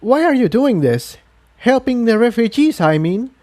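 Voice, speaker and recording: A man's voice: very high voice, sing-song, moderate pace, mellow, no echo, pitched above the performer's own voice. A man voicing a blunt, impulsive female pony refugee with a questioning manner in a fantasy audiobook. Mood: suspicious, urgent. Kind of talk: acted dialogue in fan fiction audiobook.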